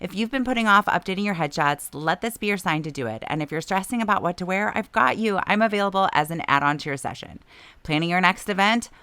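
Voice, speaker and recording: A woman, hosting a podcast. She is hoarse from a long illness, and her deep, raspy voice has a lot of vocal fry. She chats easily and laughs often. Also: lively intonation, quick pace, pushed and bright, no room sound. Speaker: podcast host. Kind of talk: casual chat and read ads.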